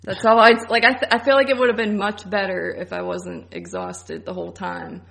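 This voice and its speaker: A woman's voice: mid-range voice, lively intonation, a little fast, creaky, a bit bright. A woman co-hosting a podcast, giving her opinions in casual film review chat. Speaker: podcast co-host.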